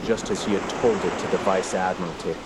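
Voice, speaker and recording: An actor playing a dread-filled investigator, narrating in the first person with solemn, literary drama for a radio play. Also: male voice, low-pitched, fairly even intonation, fairly quick, close to an everyday voice. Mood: ominous. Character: grave, dread-filled male investigator recounting his findings. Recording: clear background ambience noise.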